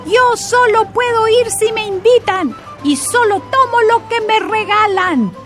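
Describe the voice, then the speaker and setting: A woman voicing a tiny, grumpy male dream-eating imp in a storybook, with a thin, high, squeaky voice and impatient, sing-song grumbling. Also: measured pace, over loud music. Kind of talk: storybook character voice.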